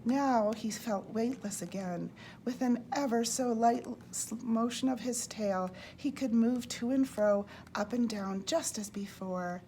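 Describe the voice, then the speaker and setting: A woman reading a children's storybook aloud in an expressive way, as a storyteller. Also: a little high. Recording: clearly noisy background.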